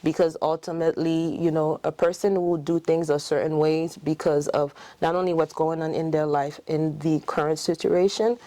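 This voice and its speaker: A woman's voice: low voice, little pitch movement, normal speed, hardly any pauses, mellow, no echo. A middle-aged Haitian woman whose first language is French, speaking in a Haitian French accent as a dentist and clinic owner in a casual interview, telling her story with many fillers and restarts.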